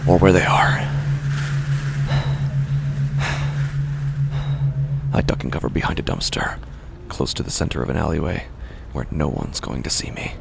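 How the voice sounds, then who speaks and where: Horror podcast lead: male actor as bitter, desperate street musician, low and gravelly, brooding first-person narration, heavy ambient noise behind. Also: brisk, heavily acted. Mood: tense. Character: desperate, resentful male street busker narrating his descent.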